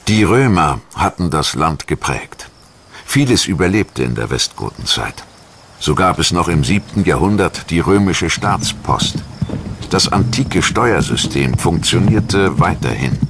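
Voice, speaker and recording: A man reading scripted historical documentary narration in a measured, solemn and formal manner. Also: sits low, sing-song, a bit bright, slight background noise. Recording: music behind the voice. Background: background music.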